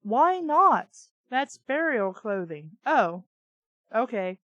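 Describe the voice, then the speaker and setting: Woman, high voice, dry close recording, reading aloud with ranting commentary, blunt, exasperated, sweary. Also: sing-song, takes it slowly, fairly bright tone, dry recording, highs cut off. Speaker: host of a bad fiction reading show.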